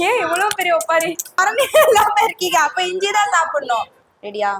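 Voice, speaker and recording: A woman's voice: extremely high pitch, sing-song, fairly quick, very noisy. A woman hosting a challenge show in casual, playful chat with a teasing, informal manner.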